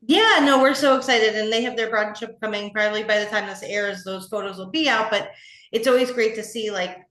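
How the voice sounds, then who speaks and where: Female podcast host, effusive and affirming, conversational, mid pitch, roomy echoing sound. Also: fairly quick, a bit bright. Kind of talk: conversational interview.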